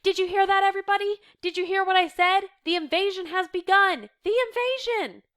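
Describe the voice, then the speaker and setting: A woman voicing an excitable young woman in a stage drama, exclaiming urgently as she delivers good news. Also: sits high, normal speed, voice pushed up. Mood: excited.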